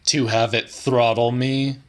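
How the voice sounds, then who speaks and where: A man's voice: medium pitch, level tone, slow pace, pitched above the performer's own voice, natural voice. A man voicing a pompous, aggrieved young intellectual idler with a rhetorical manner. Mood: rhetorical protest.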